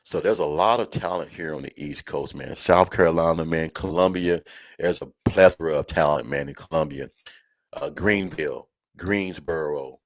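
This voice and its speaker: A man's voice: a bass voice, normal speed, no room sound. A man hosting a college football show in a casual, folksy, conversational way, speaking to listeners like friends. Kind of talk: casual live sports chat.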